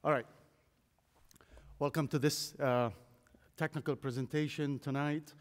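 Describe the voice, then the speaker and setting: A man of about fifty giving a technical presentation at a podium microphone, speaking in a relaxed, conversational way with many fillers. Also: a little high, unhurried, a little hiss or hum. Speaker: guest speaker. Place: large conference hall. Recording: long hall reverb.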